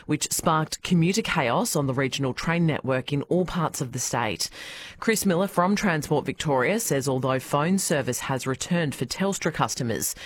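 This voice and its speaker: An Australian woman reading a radio news bulletin in a crisp, measured way. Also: low voice. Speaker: newsreader.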